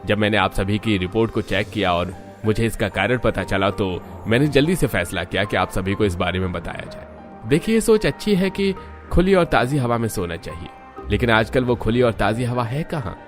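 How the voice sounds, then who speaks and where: A man's voice: lowish voice, animated, normal speed, faint music behind, natural voice. A man playing a concerned village doctor who explains things earnestly in a cartoon moral story.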